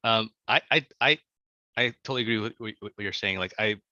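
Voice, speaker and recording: A man's voice: lowish voice, a little fast, sharp tone, no echo. A man being interviewed as an author on a video call, talking casually, stammering and repeating words as he goes. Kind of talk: casual conversation. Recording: dull lo-fi audio.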